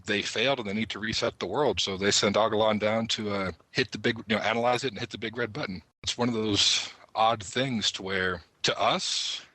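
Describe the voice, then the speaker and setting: A man's voice: lowish voice, steady tone, fairly quick, hardly any pauses, pushed and bright, no echo, low-quality recording. A man speaking as a podcast panelist in a casual discussion of game lore.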